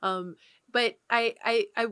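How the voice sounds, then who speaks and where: A woman's voice: a little high, steady tone, slow pace, fairly bright tone, smooth, dry recording, studio quality. A woman cohost in a casual podcast chat, talking reflectively and often restarting her sentences.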